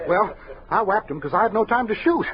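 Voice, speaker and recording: An actor playing an earnest, flustered frontier soldier telling a tall tale in a folksy, excitable radio drama manner. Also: male voice, sits high, brisk. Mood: excited yarn-spinning. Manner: folksy, apologetic, excitable storytelling. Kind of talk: 1960s radio drama acting. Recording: dull vintage radio recording.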